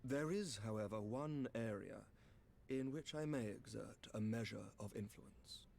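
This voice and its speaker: An actor playing a courteous, grave knight commander in a fantasy game, speaking in formal, measured, archaic phrases with a slightly creaky voice. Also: male voice, fairly low pitch, darkish tone, slight background noise. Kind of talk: scripted fantasy game dialogue.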